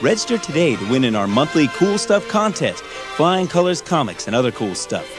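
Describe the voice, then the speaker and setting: Lively male ad announcer over loud music. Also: moderate pace. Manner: upbeat sales pitch. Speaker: commercial announcer. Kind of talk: scripted local store advertisement.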